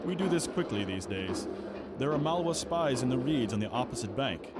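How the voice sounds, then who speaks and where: An actor playing a brisk, commanding military ship captain in an audio drama, barking at his crew. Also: medium pitch, animated, heavy background noise, close to an everyday voice. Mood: matter-of-fact. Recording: loud music and battle effects behind.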